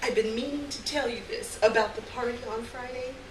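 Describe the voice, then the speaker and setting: A woman's voice: on the high side, a little fast, voice pushed up, natural voice. A woman voicing a breezy, persuasive popular teenage schoolgirl in a live story reading, casual and upbeat. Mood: casual, coaxing. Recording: echoey, noisy live room, distant mic.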